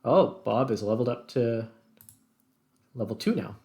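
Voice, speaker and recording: A man's voice: medium pitch, animated, moderate pace, dark tone. A man hosting a gaming channel, giving casual gameplay commentary and thinking aloud as he plays. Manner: thinks aloud while playing. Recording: clear background noise.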